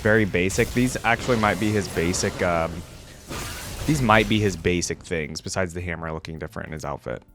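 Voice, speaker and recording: A man's voice: sits low, a little fast, fairly bright tone. A man giving casual, off-the-cuff reaction commentary as a video host, musing aloud in slangy talk. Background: music and video game sounds.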